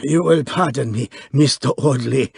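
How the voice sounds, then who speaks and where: A man's voice: on the high side, animated, unhurried, gravelly, husky, no echo, clean studio sound, voice pushed up, full character voice. A man voicing a panicky foreign hotel proprietor who speaks broken English and gasps for breath.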